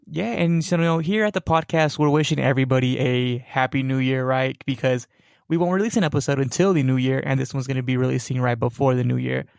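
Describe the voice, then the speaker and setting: A man's voice: mid-range voice, fast talker, creaky, a little mellow, clean tone, dry recording, studio quality. A young man hosting a casual supernatural podcast, rambling with fillers and tag questions like "right?". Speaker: podcast host.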